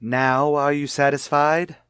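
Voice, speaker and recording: An actor playing a cornered husband with a false identity in a radio drama, earnest and self-justifying. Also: male voice, a little high, flat delivery, slow, fairly bright tone, smooth, no room sound, clean studio sound. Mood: guarded. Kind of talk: old-time radio drama acting.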